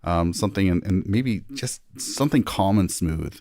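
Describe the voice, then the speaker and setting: Lower-voiced man, a hesitant podcast guest. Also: lively intonation, moderate pace, dark tone, dry recording, clean studio sound. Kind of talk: casual conversation.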